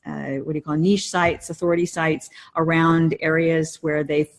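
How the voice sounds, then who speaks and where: A woman's voice: sits low, little pitch movement, normal speed. A woman co-hosting a podcast, explaining things casually in a wordy way and rephrasing herself as she goes. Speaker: podcast co-host.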